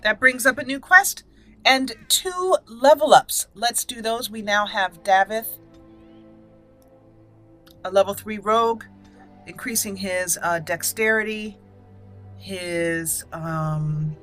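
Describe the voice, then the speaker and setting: A woman's voice: mid-range voice, slow, pauses often, pushed and bright, soft background music. A woman hosting a gaming video, giving casual roleplay commentary and thinking aloud as she plays.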